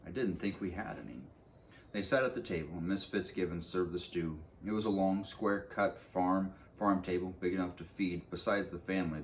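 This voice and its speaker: A man reading a storybook aloud as narrator, informally, sometimes stumbling and rereading, with some background noise. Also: low-pitched.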